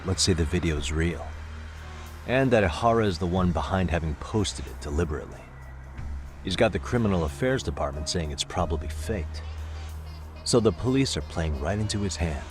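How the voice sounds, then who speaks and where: An actor playing a calm, persuasive detective in an English game dub, measured and politely insistent. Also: male voice, deep voice, lightly acted. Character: calm, persuasive male detective pressing for information. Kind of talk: dramatic game cutscene dialogue.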